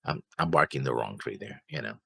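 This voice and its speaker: A man's voice: very deep voice, very animated, a little fast, vocal fry, bright, forceful tone. A man co-hosting a reaction show, thinking aloud in casual talk and often trailing off. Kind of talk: casual discussion.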